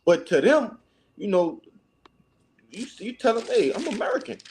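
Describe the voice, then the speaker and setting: Higher-voiced man in casual Bible discussion. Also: big pitch swings, normal speed, a little mellow, a little hiss or hum. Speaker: Bible study participant.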